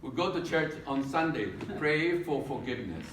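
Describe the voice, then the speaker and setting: A man with a Filipino accent gives a humorous speech at a contest, delivering his jokes drily and pausing for the laughs. He is recorded from across an echoey meeting hall. A faint hum of the room sits behind him. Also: mid-range voice, recorded from a distance. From Philippines. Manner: deadpan joking with pauses for laughs. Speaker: contest speaker.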